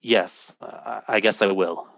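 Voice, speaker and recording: A man's voice: lowish voice, fairly even intonation, takes it slowly, creaky, fairly bright tone, no echo, clean studio sound, exaggerated voice. A man playing an earnest, troubled, intense hero in an old-time radio drama. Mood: questioning, alarmed. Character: earnest, troubled man telling a fantastic story.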